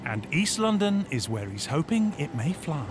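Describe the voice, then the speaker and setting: A man's voice: on the high side, big pitch swings, normal speed, very noisy. A man narrating a documentary from a script in a wry, playful manner. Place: studio voice-over. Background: prominent music.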